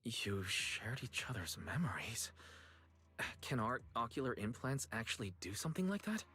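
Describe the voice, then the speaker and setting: A man playing an earnest, protective brother in an anime-style game, speaking clearly. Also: mid-range voice, very animated, moderate pace, sharp tone, full character voice. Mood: curious, thoughtful. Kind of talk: game dialogue voice acting. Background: game music faintly behind.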